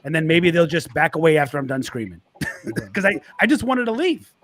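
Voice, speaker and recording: A man's voice: a little high, very animated, brisk, a bit bright, no room sound. A man from Boston with a Boston accent, a guest telling stories in casual chat, stammering and repeating words as he goes.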